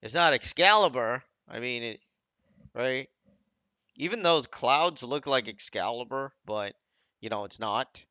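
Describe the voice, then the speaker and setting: A man's voice: mid-range voice, expressive, measured pace, pushed and bright, no room sound, highs cut off. A man giving casual, off-the-cuff commentary on game cutscenes, explaining conversationally with rambling asides.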